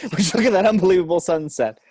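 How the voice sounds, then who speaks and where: A man's voice: high-pitched, quick pace, darkish tone, dry recording, clean studio sound. A man playing an emotional grown father rediscovering boyish wonder, exclaiming in a table-read performance. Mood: joyful. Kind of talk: amateur table-read acting.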